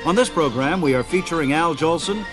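A man with a fairly high voice hosts a scripted radio program of vintage entertainment. Music plays clearly behind him as he presents. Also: a little fast. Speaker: radio show host.

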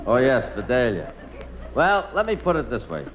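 Fed-up blunt critic, halting, voice all over the place, noisy old radio comedy. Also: male voice, mid-range voice, wildly swooping pitch. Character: exasperated, blunt male critic, fumbling for polite words.

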